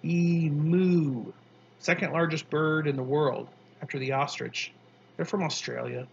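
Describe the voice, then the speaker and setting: A man's voice: a little high, steady tone, unhurried, vocal fry, dull audio, a little hiss or hum. A man voicing an easygoing young boy who answers in short, plain, matter-of-fact lines.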